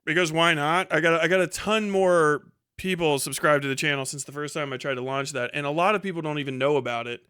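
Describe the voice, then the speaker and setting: A man's voice: fairly high pitch, fast, hardly any pauses, sharp tone, clean tone, no echo, clean studio sound. A man hosting a casual livestream, reading viewer comments and riffing in a profane, sardonic way. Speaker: livestream host.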